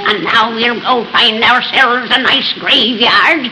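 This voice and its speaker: A woman's voice: a little high, takes it slowly, pushed and bright, slight background noise, full character voice, low-quality recording. A woman playing a cackling old witch who tells a ghoulish story, in 1930s radio-drama style. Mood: gloating. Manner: gleeful, relishes grim tales. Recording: old radio recording with music.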